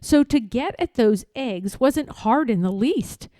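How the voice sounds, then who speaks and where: A woman reading a rhyming children's storybook aloud in an expressive, playful way, with deliberate and emphatic phrasing. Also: on the high side, no echo, studio quality.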